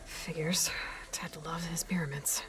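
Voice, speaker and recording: An actress playing a self-assured young huntress heroine in a video game, direct and dry, in a naturalistic matter-of-fact delivery. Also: female voice, deep voice, steady tone, a little slow, music in the background, rough recording. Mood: wry, determined.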